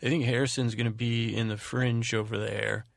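Male podcast host, gravelly fry, mid-pitched, halting rambling bedtime delivery, dry recording. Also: little pitch movement, no echo. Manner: meandering, halting, repeats and rephrases himself. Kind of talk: rambling bedtime monologue and thank-you announcements.

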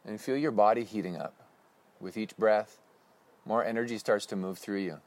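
A man leading a guided breathing meditation with slow, calm, instructive cues. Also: fairly low pitch.

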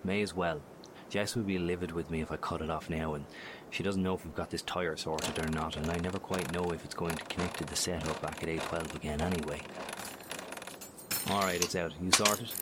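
A man's voice: low-pitched, steady tone, a little fast, lightly acted. A man acting a blunt, dry-humoured apocalypse survivor in a naturalistic horror audio drama, speaking casually. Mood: dry, matter-of-fact. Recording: noisy in-world field recording.

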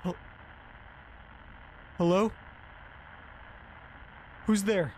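Slow, halting, frightened man acted out by a man. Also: sits high, steady tone, a little mellow, full character voice, rough recording. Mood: tense, wary. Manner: halting, repeats himself, swears. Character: frightened, hungover man alone in a dark, silent world. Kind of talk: audio drama monologue.

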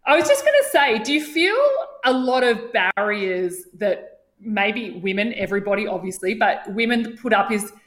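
A woman's voice: mid-range voice, sing-song, moderate pace, fairly bright tone. A woman co-hosting an interview, engaged and asking thoughtful questions. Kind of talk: casual interview chat.

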